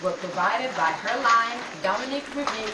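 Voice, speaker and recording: A woman announcer and performer with a medium-pitched, lively voice. She sounds distant in an echoey room, with very loud noise and crowd sound around her. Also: normal speed. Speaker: cast member and announcer. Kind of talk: credit announcing and casual chat. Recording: very noisy echoey live room, distant mic.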